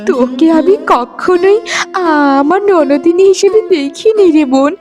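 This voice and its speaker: A woman performs a kind young daughter-in-law from a cartoon family drama. Her high voice is earnest, deferential and sisterly, delivered with melodramatic feeling over faint music. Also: darkish tone.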